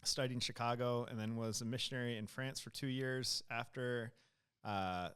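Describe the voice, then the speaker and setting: A man's voice: medium pitch, steady tone, moderate pace, a bit bright, clear, resonant tone. A man in his forties from Iowa, a guest telling his story in an easygoing way. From Iowa, United States. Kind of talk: casual interview.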